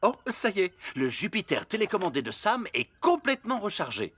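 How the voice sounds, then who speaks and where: A man's voice: high voice, very animated, brisk, a bit bright, slight background noise. An actor playing a breezy, overconfident father in a cartoon. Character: overconfident clumsy dad.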